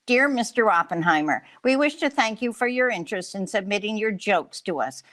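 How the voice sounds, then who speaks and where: A formal secretary in a radio play, acted by a woman. She reads out correspondence with polite dismissal in a middle-pitched voice. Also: lively intonation, a little fast, a bit bright, close to an everyday voice. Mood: brisk formality. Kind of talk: radio drama acting.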